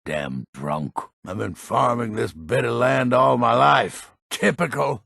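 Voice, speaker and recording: An actor playing a grumpy, proud old Nordic townsman in a fantasy video game, gruff and blunt, with a gravelly, husky voice. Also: mid-range voice, slow pace, fairly bright tone, no echo, clean studio sound, full character voice. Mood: bitter, grumbling. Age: older.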